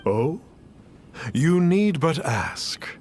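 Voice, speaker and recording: A pompous masked mystic. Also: male voice, on the high side, big pitch swings, extremely slow, slight background noise, exaggerated voice. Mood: solemn. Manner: archaic, formal speech, refers to himself as one. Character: grave masked male mystic, archaic and pompous. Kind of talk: game voice acting. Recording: game audio over music.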